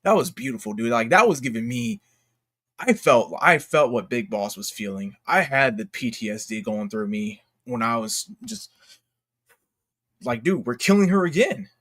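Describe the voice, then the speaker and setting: A man giving unscripted commentary as a YouTuber, talking in a halting, slangy, exclamatory way and often interrupting himself. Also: mid-range voice, animated, fairly bright tone. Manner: rambling, halting, self-interrupting. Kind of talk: unscripted casual commentary rant.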